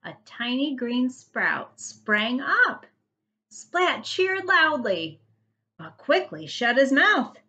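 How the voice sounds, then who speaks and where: A woman reads a picture book aloud to small children like a first grade teacher. Her voice is bright and lively, with big swings of pitch and emphatic storytelling at a slow pace. The sound is a little dull.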